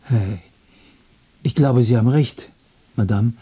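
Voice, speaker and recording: An actor playing a lonely, muddled, touchy man in a radio play, rambling in a halting, repetitive, nervous way. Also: medium pitch, dark tone, slightly rough. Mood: tentative, eager. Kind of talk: German radio play acting.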